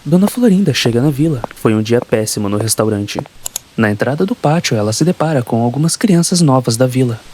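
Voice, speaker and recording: Brazilian man narrating a horror story, lightly high-pitched. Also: lively intonation, a little fast, a little mellow, slight background noise.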